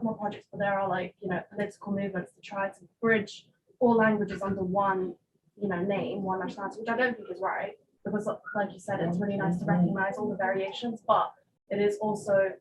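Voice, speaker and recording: A woman's voice: mid-range voice, steady tone, brisk, noisy background. A woman in the audience asking a question, hesitant and full of "like" fillers. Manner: hesitant, filler-heavy, many "like"s.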